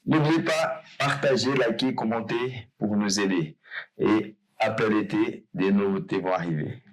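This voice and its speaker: A man's voice: lowish voice, a little slow, rough recording. A man hosting a podcast interview, talking loosely and rambling, often correcting himself. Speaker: podcast host.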